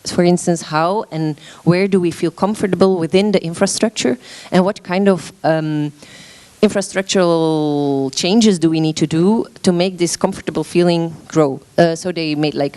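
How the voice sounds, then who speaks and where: Woman, low-pitched voice, hesitant, lots of ums, conference presentation. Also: mellow. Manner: hesitant, many filler sounds and restarts. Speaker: arts organization representative presenting at a meeting.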